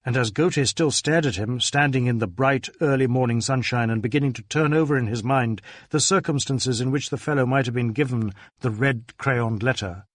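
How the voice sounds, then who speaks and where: A man's voice: medium pitch, moderate pace, hardly any pauses, dry recording. A man reading scripted literary narration as an audiobook narrator.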